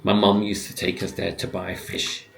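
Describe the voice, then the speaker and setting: A man in his fifties, born in Hong Kong and raised in the West, giving reflective, unscripted commentary in a halting, musing way with pauses mid-sentence. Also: deep voice, monotone, vocal fry, fairly bright tone. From born in Hong Kong, grew up in the West, living in the UK. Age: 50s. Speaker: video host giving commentary.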